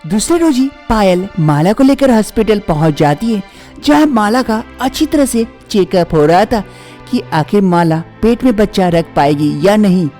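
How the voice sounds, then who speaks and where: Man, storyteller, scripted narration, soft dark tone, light music behind. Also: high-pitched, expressive, normal speed.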